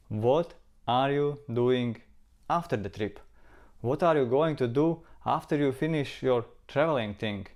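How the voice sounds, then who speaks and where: A man's voice: medium pitch, darkish tone. Latvian guy vlogging about travel, earnest and reflective, repeats himself for emphasis. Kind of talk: casual talk to camera.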